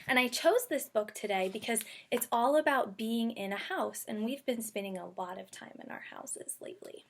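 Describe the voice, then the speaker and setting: A woman's voice: a little high, normal speed, creaky. A woman kindergarten teacher giving a read-aloud lesson, speaking simply and instructively and addressing young children directly. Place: bedroom at home.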